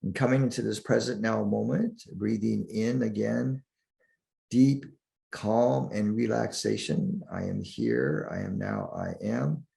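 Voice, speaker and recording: Slow, gravelly male meditation guide. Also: lowish voice, animated, mellow. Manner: soothing, deliberate, with long pauses between short phrases.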